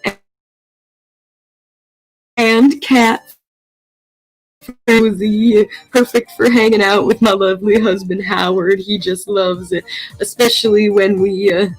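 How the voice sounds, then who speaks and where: A woman playing an eccentric, cheerful big-cat keeper in a comedy crafting video, halting and folksy as she addresses viewers. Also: mid-range voice, little pitch movement, breathy edge, poor audio. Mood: sweetly folksy.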